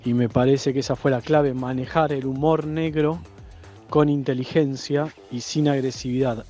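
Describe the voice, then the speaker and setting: A man's voice: mid-range voice, fairly even intonation, moderate pace, mellow, faint music behind. A man with an Argentine accent giving reflective interview talk.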